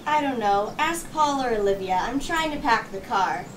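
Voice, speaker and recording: A woman playing a brisk, worrying, practical mother in an amateur radio play. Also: a little high, animated, close to an everyday voice. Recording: distant mic, noisy room.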